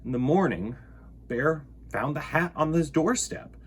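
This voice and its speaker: Expressive male children's storyteller, slightly husky. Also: fairly high pitch, unhurried. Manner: expressive, emphatic, addresses young listeners directly. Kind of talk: animated picture-book reading and chat to children.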